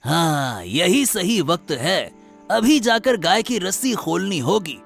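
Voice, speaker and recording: Guy doing sly old village cow thief for a cartoon, high-ish gravelly voice, swoops around a lot. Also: big pitch swings, slow, fairly bright tone, no echo, soft background music, heavily acted. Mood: conspiratorial. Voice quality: gravelly, husky. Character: sly village cattle thief, an eager older man. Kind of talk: Hindi animated moral story acting.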